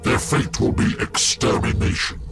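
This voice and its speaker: An actor playing a solemn, learned warrior psyker in a video game, speaking in formal, measured statements. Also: male voice, lightly acted. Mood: ominous, solemn. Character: solemn, learned male space marine psyker Quindar. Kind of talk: video game cutscene voice acting. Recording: loud game music behind the voice.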